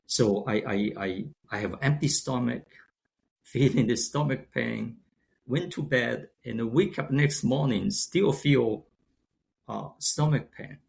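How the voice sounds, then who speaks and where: A man in his sixties from China, speaking English with a Chinese accent, as a guest. He talks haltingly, with frequent restarts and fillers as he searches for words. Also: mid-range voice, creaky. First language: Shanghainese. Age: 60s. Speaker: guest, company founder. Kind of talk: casual interview talk.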